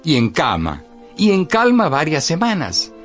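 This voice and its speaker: A man's voice: on the high side, huge pitch swings, unhurried, faint music behind. A man narrating a literary radio play in a theatrical way, repeating words for emphasis.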